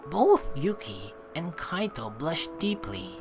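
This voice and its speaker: A chatty, sweary woman doing casual, expressive let's play commentary and reading narration aloud. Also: low voice, slow, loud music behind the voice. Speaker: let's player.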